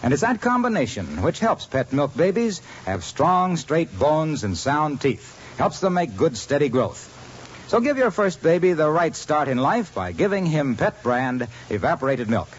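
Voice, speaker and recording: A man's voice: on the high side, very animated, normal speed. A male radio announcer giving an earnest, polished 1950s ad read. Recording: dull old radio broadcast with light hiss.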